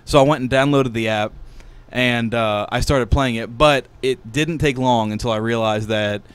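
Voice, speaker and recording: A man's voice: medium pitch, normal speed, smooth, dry recording. A man telling a story in a casual, comedic podcast style, rambling and wry, close to a studio microphone. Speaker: podcast co-host. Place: small indoor podcast studio. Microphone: close studio microphone with headphones.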